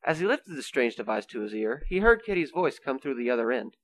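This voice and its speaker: A man's voice: medium pitch, sing-song, a little fast, no room sound. A male narrator reads scripted storybook narration for a radio play. His mid-range voice moves up and down a lot, and the sound is dry.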